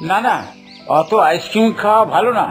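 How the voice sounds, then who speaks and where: A woman's voice: medium pitch, brisk, faint music behind, natural voice. A woman voicing a mild, indulgent father in a children's cartoon. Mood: mildly reluctant.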